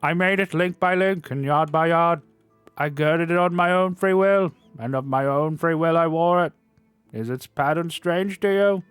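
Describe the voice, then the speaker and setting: A man voicing a mournful chained male ghost with high, moaning, drawn-out wails. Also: a bit bright, clear, resonant tone, no room sound, faint music behind, close to an everyday voice.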